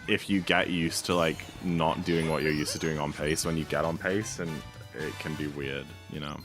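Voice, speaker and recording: A slightly hoarse young man streaming a speedrun, chatting casually and rambling with lots of fillers. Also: rumbling low, steady tone, normal speed. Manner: rambling, laid-back, many fillers. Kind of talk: casual live-stream chat. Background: game music.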